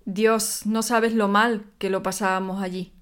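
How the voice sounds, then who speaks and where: A woman's voice: mid-range voice, little pitch movement, measured pace, a bit bright, clean tone, natural voice. A woman playing a frank, contented young goddess of love in scripted audio fiction. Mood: candid.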